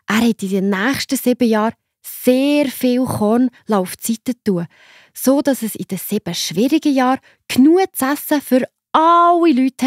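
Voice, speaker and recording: A woman's voice: a little high, dry recording, clean studio sound. Swiss German woman telling children a story. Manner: simple, expressive, explaining to children. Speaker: narrator.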